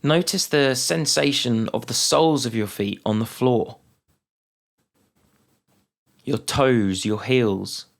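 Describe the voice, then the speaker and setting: A man leading a guided mindfulness meditation, speaking calmly and unhurriedly with long pauses between phrases. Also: mid-range voice, vocal fry.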